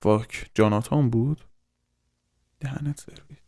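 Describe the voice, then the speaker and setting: A man's voice: mid-range voice, a little mellow. A man gives casual reaction commentary as a host, swearing informally and dropping in English slang.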